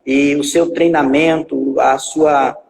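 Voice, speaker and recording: Gravelly-voiced man in his forties, echoing. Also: fairly high pitch, flat delivery. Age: 40s. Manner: halting, with many filler pauses and repetitions. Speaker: guest pastor. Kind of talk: telling his life story in a live stream.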